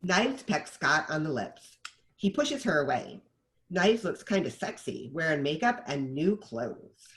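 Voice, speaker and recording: A man's voice: on the high side, sing-song, unhurried, fairly bright tone. A man narrating the stage directions of a screenplay at a table read.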